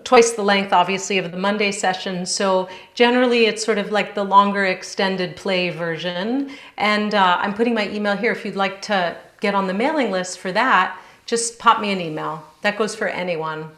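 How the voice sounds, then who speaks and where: A woman's voice: medium pitch, a bit bright. Woman teacher talking in an echoey room. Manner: thoughtful, halting, checks with "right?". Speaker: yoga teacher. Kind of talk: informal question-and-answer chat after class. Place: indoor room on a group video call. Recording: roomy, echoing video call sound.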